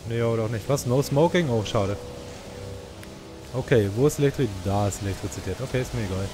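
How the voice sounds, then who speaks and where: A man's voice: medium pitch, brisk, music in the background. Man doing casual gaming commentary. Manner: slangy, playfully griping, talks to the game's characters. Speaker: let's player.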